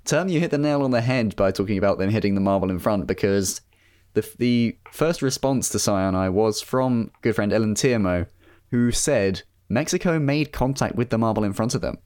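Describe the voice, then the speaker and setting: A man hosts a casual podcast in a low voice. He steers the chat and reads out listener messages in a conversational, informal way. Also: moderate pace, darkish tone. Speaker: podcast host.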